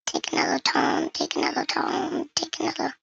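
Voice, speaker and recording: A very bright, high voice run through a voice changer gives casual game commentary. It is creaky and sounds dry and close, chatting to viewers and thinking aloud while playing. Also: huge pitch swings, moderate pace, no room sound, dull audio. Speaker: gaming video creator.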